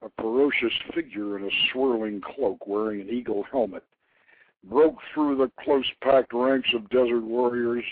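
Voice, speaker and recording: A man's voice: mid-range voice, a little hiss or hum. Phone-like male storyteller, theatrical delivery. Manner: theatrical, grandiose, deliberate phrasing. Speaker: storyteller, host reading his own novelette. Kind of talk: dramatic scripted narration of an ancient legend. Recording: very dry internet radio, narrow phone-like band.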